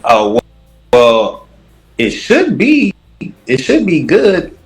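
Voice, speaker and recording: A man's voice: on the high side, huge pitch swings, slow pace, faint music behind. A man in his thirties who is a dating show contestant and answers hesitantly and evasively, full of fillers. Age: 30s.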